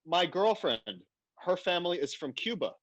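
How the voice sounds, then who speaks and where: A man from Afghanistan talking bluntly and animatedly in a casual podcast conversation, quick to laugh. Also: sits high, lively intonation, dry recording. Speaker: podcast guest.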